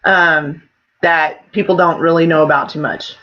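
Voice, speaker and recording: A woman's voice: low voice, fairly even intonation, unhurried, a little hiss or hum. A middle-aged woman from Oklahoma chatting casually on a livestream. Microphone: internet video call. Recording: dull lo-fi livestream audio.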